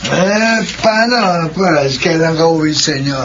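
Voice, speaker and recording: A man's voice: on the high side, animated, measured pace. A man playing a roguish gang buddy with a Filipino accent in a radio drama. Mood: prodding. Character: nosy, roguish young male gang buddy. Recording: dull, noisy lo-fi audio.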